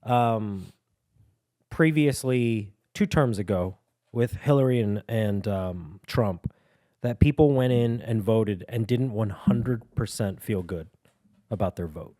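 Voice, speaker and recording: A young man co-hosting a podcast, joking and rambling in casual chat with quick laughs. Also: on the low side, mellow, dry recording, clean studio sound. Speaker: podcast co-host. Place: home studio with dogs.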